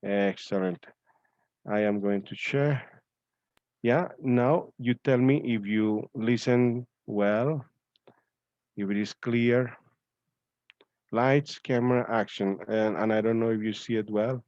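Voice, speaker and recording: Male event host, unhurried and repetitive, informal, lo-fi close-sounding audio. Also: fairly low pitch, steady tone, darkish tone, dry recording. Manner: halting, repeats himself. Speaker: host of a student reading-aloud event. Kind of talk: informal online event hosting. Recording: dull, lo-fi online call audio.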